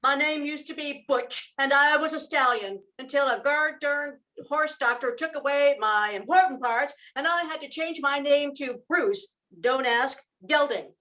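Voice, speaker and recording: A woman's voice: high voice, measured pace, few pauses, bright, forceful tone, low-quality recording. An older woman playing an indignant, chatty talking gelding in an amateur radio comedy. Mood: indignant, comic. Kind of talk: amateur old-time radio comedy acting.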